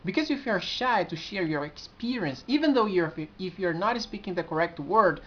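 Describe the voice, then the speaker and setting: A man's voice: high-pitched, expressive, fairly bright tone. Earnest young Brazilian man, lo-fi audio. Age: 20s. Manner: earnest, halting, with frequent restarts. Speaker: community administrator. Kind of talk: casual vlog to camera. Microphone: built-in camera mic. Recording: dull lo-fi camera audio.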